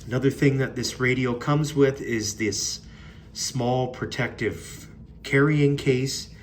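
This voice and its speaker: Man, fairly low pitch, casual unscripted reviewer, plain-spoken, mildly critical, slight background noise. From Canada. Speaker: product reviewer.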